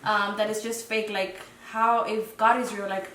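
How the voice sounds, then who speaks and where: Soft-spoken young woman, echoey room. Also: mid-range voice, flat delivery, normal speed. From Dominican Republic. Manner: hesitant, many fillers, soft-spoken reflective. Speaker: guest. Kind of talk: casual interview chat. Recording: roomy echo, mic at a distance, faint noise.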